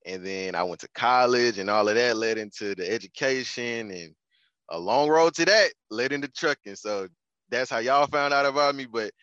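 A man's voice: medium pitch, moderate pace, a bit bright, smooth, no echo, dull audio. A man, chatting as a podcast guest in a laid-back, slangy way. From Houston, Texas. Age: 20s. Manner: laid-back, slangy, restarts and repeats phrases. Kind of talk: casual conversational interview.

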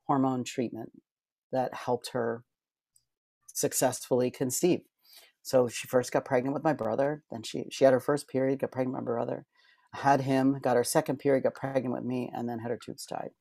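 A man's voice: mid-range voice, creaky, a little mellow, no room sound. A middle-aged man, a podcast guest and author, talking earnestly and heartfelt, with many fillers and restarts. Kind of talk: reflective interview talk.

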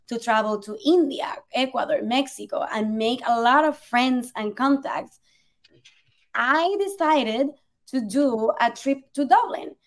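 A young woman from Puerto Rico, a native Spanish speaker with a Puerto Rican accent, earnestly telling her story as an interview guest. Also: on the high side. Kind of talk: conversational storytelling.

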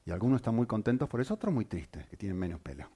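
Animated preacher. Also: male voice, on the low side, racing, breathy edge. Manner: animated, rhetorical questions to the congregation. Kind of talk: sermon.

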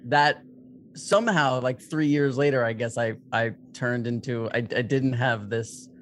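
Young dude cohosting a podcast, loose and jokey. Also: male voice, mid-range voice, a little slow, fairly bright tone, a little hiss or hum. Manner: joking, self-mocking, loose. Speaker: podcast co-host. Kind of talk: casual podcast chat.

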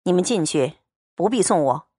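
A woman's voice: lowish voice, lively intonation, moderate pace, dark tone, husky, no echo, studio quality, pitched below the performer's own voice. Liu Xianglian, a woman doing a cold young swordsman. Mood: cool, curt. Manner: curt, coldly sneering.

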